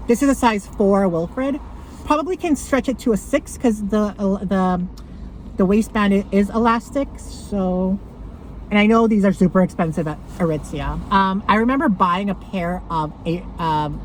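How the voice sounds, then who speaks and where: A woman talking casually to camera about her thrift finds, rambling with lots of fillers and a loud voice. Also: medium pitch, a little hiss or hum, poor audio. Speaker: thrift haul vlogger.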